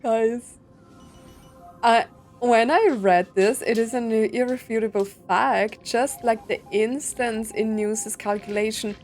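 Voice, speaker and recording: A woman's voice: on the high side, fairly even intonation, slow, darkish tone, soft background music. Chatty young woman reacting to a game. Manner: chatty and candid, with many fillers, laughs and blunt quips at the game. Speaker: gaming streamer. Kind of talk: casual game playthrough commentary.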